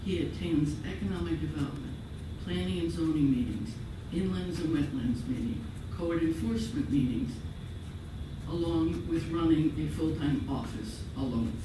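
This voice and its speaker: A man's voice: a little high, animated, normal speed, noisy background. A man giving a prepared public comment at a town meeting, formal and firm. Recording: echoey room, far mic.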